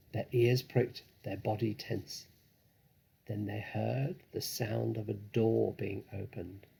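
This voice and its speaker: Gravelly man reading a children's story. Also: lowish voice, a little mellow, rough recording. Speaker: storybook reader. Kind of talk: dramatic children's story narration.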